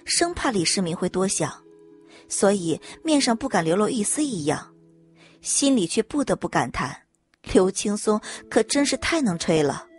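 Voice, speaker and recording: A woman's voice: mid-range voice, sing-song, moderate pace. A woman reading a scripted story as a dramatic audiobook narrator. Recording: music bed under narration.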